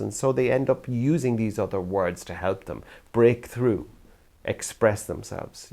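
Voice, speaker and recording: Low-voiced older Irish man. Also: gravelly, darkish tone. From Ireland. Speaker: youth theatre practitioner. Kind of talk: reflective talk to camera.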